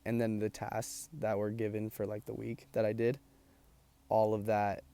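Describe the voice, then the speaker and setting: A man's voice: fairly low pitch, completely flat delivery, moderate pace, warm tone. A young man chatting casually to camera, tired and losing his voice, rambling with lots of ums and likes. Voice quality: gravelly. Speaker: vlogger. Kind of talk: casual chat.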